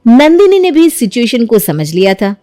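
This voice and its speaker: A woman's voice: a little high, very animated, moderate pace, dark tone, dry recording, studio quality. A woman narrating a scripted moral story as its storyteller.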